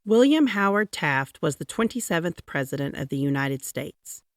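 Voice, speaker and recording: A woman narrating a children's picture book aloud in a dramatic, deliberate, expressive way. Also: sits low, no room sound, studio quality. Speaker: storybook reader.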